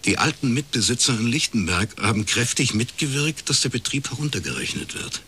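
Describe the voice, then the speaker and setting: An actor playing a shrewd, dry-witted private detective in a radio crime drama, laconic and deadpan. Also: male voice, medium pitch, fairly quick, husky. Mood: dry, knowing. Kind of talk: naturalistic German radio crime drama acting.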